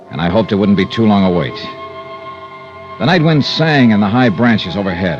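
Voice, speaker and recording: An actor playing a hard-boiled, dryly sardonic American investigator, performing and narrating a vintage radio detective drama. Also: male voice, sits low. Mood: tense narration. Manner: dry, sardonic. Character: wisecracking hard-boiled freelance insurance investigator, cool under threat. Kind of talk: 1950s American radio drama acting and first-person narration. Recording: muffled old radio recording.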